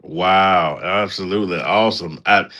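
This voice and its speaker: A man's voice: low-pitched, moderate pace, sharp tone, dull audio. A man hosting an interview, enthusiastic and praising, often repeating words as he starts his sentences. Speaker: podcast host, preacher.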